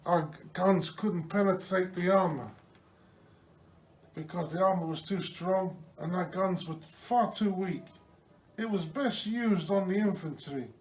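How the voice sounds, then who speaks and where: Casting: fervent wounded Red Army comrade male amateur acting, high male pitch, declamatory repetitions, distant roomy sound. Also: a little slow. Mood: reminiscing. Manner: repeats phrases, declamatory. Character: zealous wounded Soviet soldier, devoted to the motherland. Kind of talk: amateur improvised war role-play. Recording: echoey, distant, noisy room recording.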